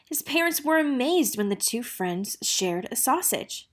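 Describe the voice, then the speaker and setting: A woman's voice: high voice, big pitch swings, a little slow. Woman reading a children's picture book aloud. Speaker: read-aloud narrator.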